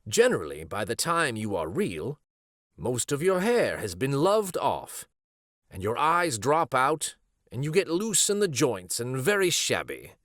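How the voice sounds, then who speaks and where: A man's voice: medium pitch, huge pitch swings, unhurried, a bit bright, no echo, studio quality. A man voicing an old, shabby, wise toy horse in a storybook, explaining things patiently and truthfully. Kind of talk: storybook character voice.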